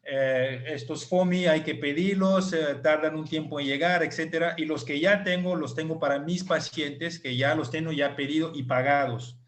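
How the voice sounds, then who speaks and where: A male clinic doctor, not a native Spanish speaker, patiently explaining details and slowly repeating numbers on an online group call. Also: on the high side, fairly even intonation.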